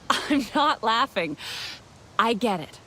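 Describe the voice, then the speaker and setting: An actress playing a calm, helpful young warrior heroine in a game, easygoing, inquisitive and gently teasing. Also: female voice, fairly high pitch, slow, a little hiss or hum, full character voice. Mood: calm, curious. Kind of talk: naturalistic game dialogue.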